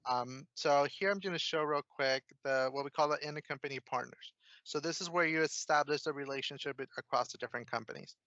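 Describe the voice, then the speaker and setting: A man presenting a casual software demo, explaining each step informally as he clicks through. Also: a little high, a little fast, no echo, dull audio. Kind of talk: casual screen-share software walkthrough.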